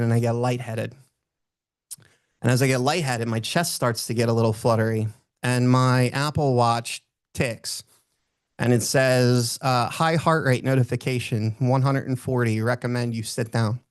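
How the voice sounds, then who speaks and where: A man delivers a motivational talk in a booming voice of medium pitch. He moves between direct address and personal storytelling, stressing key phrases by repeating them. The sound is dry and close, with hardly any room in it. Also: steady tone, normal speed, smooth, dry recording. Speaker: motivational speaker.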